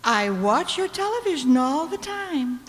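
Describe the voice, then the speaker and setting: A woman's voice: high-pitched, big pitch swings, unhurried. An actress playing a precocious, cheeky young carrot girl in a comic radio play, breezy and boastful. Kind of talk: comic radio drama acting. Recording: echoey hall with long reverb.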